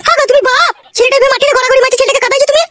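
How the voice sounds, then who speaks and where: Cartoon village mum, doting and naggy, played by a woman, extremely high pitched-up voice, rattling speed. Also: steady tone, rapid-fire, fairly bright tone, no room sound, studio quality. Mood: scolding. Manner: coaxing, plaintive. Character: doting, scolding village mother of a small boy. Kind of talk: comedy cartoon dubbing.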